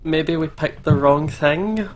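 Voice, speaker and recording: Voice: medium pitch, slow pace, a little hiss or hum. A gaming video co-host chatting casually and reacting to a game in an easily amused way. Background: game soundtrack music.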